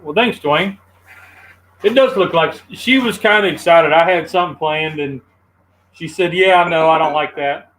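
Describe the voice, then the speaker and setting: A man with a slightly high-set voice, easygoing and chatty. He talks to his viewers the way he would to friends at home. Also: moderate pace, fairly bright tone, slight background noise, poor audio. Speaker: host of a live cooking stream. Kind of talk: casual live-stream chat.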